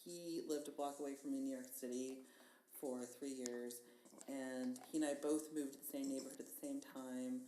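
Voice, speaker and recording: A woman's voice: extremely low pitch, fairly even intonation, a little fast, mellow. A middle-aged woman chatting casually as a podcast guest, laughing often and restarting her sentences with enthusiasm. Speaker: guest painter. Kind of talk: casual chat. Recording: echoey room with faint hiss.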